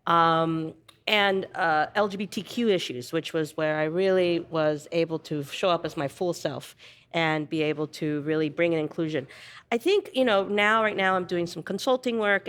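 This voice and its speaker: A woman's voice: fairly low pitch, a bit bright. A woman in her fifties speaking as a panel guest, reflective and emphatic, often checking in with "right?". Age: 50s. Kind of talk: panel discussion, conversational.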